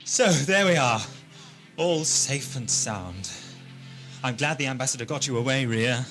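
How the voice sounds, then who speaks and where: A man's voice: medium pitch, lively intonation, a little slow, pushed and bright, soft background music. A man playing a wry, ironic time-travelling adventurer in a science fiction audio drama. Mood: relieved, fond. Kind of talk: amateur sci-fi audio drama acting.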